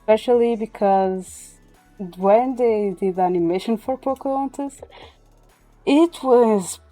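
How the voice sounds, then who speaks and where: Woman livestreamer, native Portuguese speaker. Relaxed, dark-toned voice, casual and sometimes halting delivery, faint music underneath. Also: a little high, dry recording. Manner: halting, searches for English words. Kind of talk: casual chat. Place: indoor livestream with music behind.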